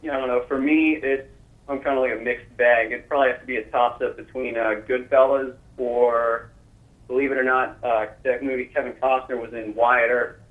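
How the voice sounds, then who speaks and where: A man calling in to a talk show, hesitant and halting as he asks and answers questions. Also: medium pitch, level tone. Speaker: caller. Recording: tinny phone line.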